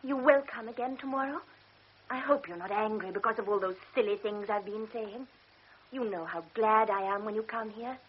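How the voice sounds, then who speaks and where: An actress playing a young woman who is moody and pleading, quick to take offense. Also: medium pitch, breathy edge, lightly acted. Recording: dull old radio recording.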